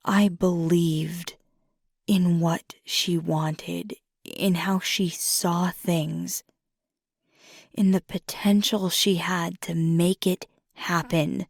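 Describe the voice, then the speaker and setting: A woman playing a young, earnest werewolf girlfriend in an intimate ASMR roleplay, soft-spoken and hesitant, with a husky, breathy voice. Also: on the low side, fairly even intonation, drawn-out words, no echo, studio quality, exaggerated voice. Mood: earnest, impassioned. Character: fiery young female werewolf girlfriend, earnest, ambitious, vulnerable.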